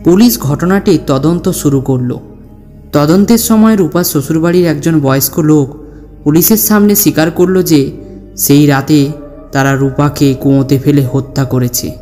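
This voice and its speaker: Guy narrating a ghost story, measured n dramatic, soft dark tone, lots of reverb. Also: on the high side. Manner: measured, solemn. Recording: long reverb, music behind.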